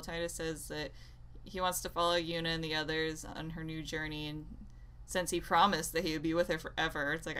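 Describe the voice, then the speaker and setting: Low-pitched woman on an exasperated rant. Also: pushed and bright. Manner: exasperated, rambling, self-interrupting. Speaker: gaming video creator. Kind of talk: unscripted opinionated rant to viewers.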